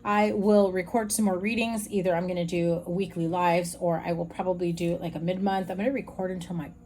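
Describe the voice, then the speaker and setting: A woman with a slightly raspy voice giving a frank, chatty tarot reading straight to her viewers. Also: fairly low pitch, steady tone, fairly quick, dark tone, slight background noise. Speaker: tarot reader. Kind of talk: casual tarot reading to camera.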